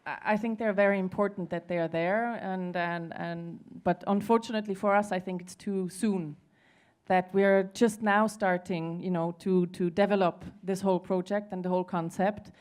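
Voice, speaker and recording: A woman's voice: medium pitch, steady tone, moderate pace, darkish tone. A woman from Switzerland speaking as a panelist in a conversational discussion, often hesitating and repeating words as she searches for them. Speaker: panelist at a conference.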